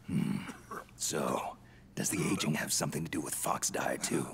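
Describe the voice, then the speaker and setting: A man playing a gruff, prematurely aged soldier in a video game cutscene, terse and world-weary, with a gravelly, whispery growl. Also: very deep voice, normal speed, a little hiss or hum. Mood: gruff, impatient. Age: older.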